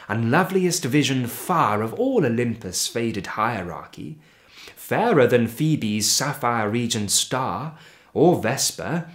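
A man reciting poetry in a dramatic, expressive and measured manner, with deliberate pauses. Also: mid-range voice. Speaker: poetry reader.

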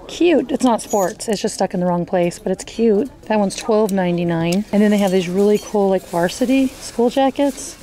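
A woman's voice: mid-range voice, darkish tone. A woman in her thirties chatting upbeat to camera as she walks a large store, reading out prices. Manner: chatty, upbeat, reads out prices, frequent gasps and little laughs. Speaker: shopping vlogger. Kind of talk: casual vlog walkthrough. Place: large indoor retail store. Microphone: handheld wide-angle camera at arm's length.

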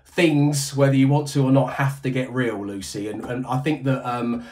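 A British man in his forties chats loosely on a headset from a room at home. He rambles and restarts, sometimes dropping in a wry joke at his own expense. His voice sits at an ordinary pitch. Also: flat delivery, normal speed, a little mellow. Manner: rambling, self-deprecating, many restarts. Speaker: radio breakfast show host. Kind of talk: casual interview chat. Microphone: headset on video call.

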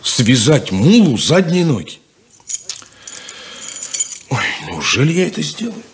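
An actor playing an irritated, grudging, practical farmer's son in a 1970s Soviet radio play. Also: male voice, on the high side, huge pitch swings, takes it slowly, full character voice. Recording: noisy old radio play.

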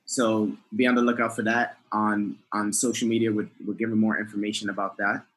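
A man's voice: lowish voice, level tone, fairly quick, fairly bright tone, rough recording. A man in his thirties, a filmmaker guest on a video call, explaining in an upbeat way through a headset. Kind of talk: casual video call interview.

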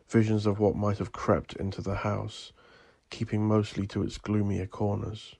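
Male horror narrator, low creaky voice, theatrical and ominous, deliberate phrasing, scripted dark fiction. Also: no echo. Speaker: audio drama narrator.

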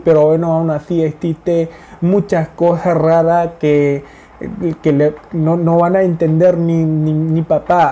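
A man in his thirties from India speaking Spanish as a learned language with an Indian accent, chatting to camera with many fillers and repeats, recorded on a lapel mic in a small room. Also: on the high side. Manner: animated, gesturing hands. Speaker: vlogger. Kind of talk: casual talk to camera. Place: small indoor room in front of a blue wall.

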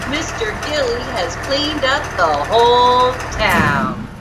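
A woman reading a picture book aloud to young children as a storyteller, with expressive, exaggerated emphasis and music behind her. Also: fairly high pitch, very noisy. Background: background music.

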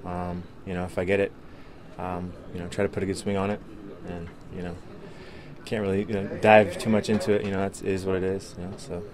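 Male player, low monotone, quick mumbled locker-room answers. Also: a crowd in the background. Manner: mumbled, filler-heavy answers. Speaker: baseball player.

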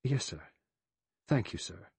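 A man's voice: on the low side, animated, slow pace, slightly rough, no room sound, heavily acted. A man voicing a deferential, apologetic butler in an audiobook mystery. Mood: restrained, deferential. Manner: servile, apologetic. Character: deferential male butler, restrained but easily rattled.

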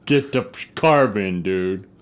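A man playing a laconic vampire sidekick, speaking in terse, deadpan comic banter, picked up muffled and distant. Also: lowish voice, slow. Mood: dry, weary. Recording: muffled, distant, noisy pickup.

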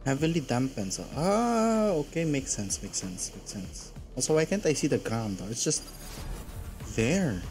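The Filipino-accented guy you would find on a late live game stream, rambling through his plays in a lively voice over clear background music. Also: mid-range voice, moderate pace. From Philippines. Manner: rambling, thinking aloud. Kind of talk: casual gaming stream chatter.

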